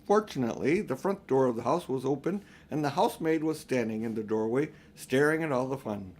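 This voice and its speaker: An older man narrating a children's audiobook in a deliberate, phrase-by-phrase manner. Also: medium pitch, animated, a little mellow. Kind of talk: scripted storybook narration.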